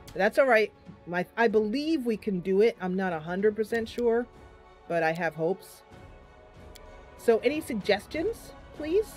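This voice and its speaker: A woman's voice: medium pitch, lively intonation, measured pace, faint music behind. A woman streaming a game, casually commentating live and thinking aloud as she chats with her viewers.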